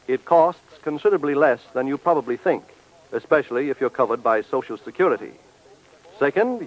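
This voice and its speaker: Muffled sound, male announcer, fairly high pitch, formal, persuasive, vintage radio advertising. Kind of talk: 1940s radio sponsor pitch. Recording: muffled old radio recording.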